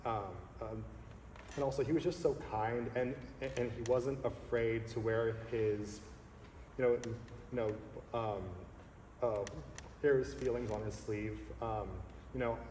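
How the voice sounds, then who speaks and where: A man in his thirties, a songleader talking earnestly and reflectively as a guest in a casual interview, pausing often to find his words. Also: mid-range voice, a little mellow. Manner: earnest, reflective, halting with fillers. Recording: echoey hall with faint noise.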